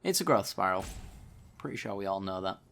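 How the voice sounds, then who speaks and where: A man's voice: sits low, animated, a little fast, creaky, a bit bright. Guy streaming a card game, chatty and a bit sarcastic, throat still a little sore. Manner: casual, chatty, with dry sarcasm. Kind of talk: gameplay commentary.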